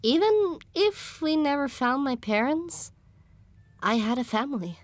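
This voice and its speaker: A woman narrating a dramatic first-person story in an expressive, emotive way, as an audiobook narrator. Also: high voice, measured pace, no room sound. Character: young pony heroine, emotional and earnest. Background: music under the voice.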